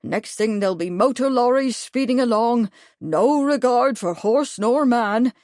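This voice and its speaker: A woman's voice: mid-range voice, animated, measured pace, darkish tone, dry recording, natural voice. Fussy old military man, pompous and long-winded, read by a woman narrator in a dry studio. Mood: indignant. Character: fussy older male retired major, pompous.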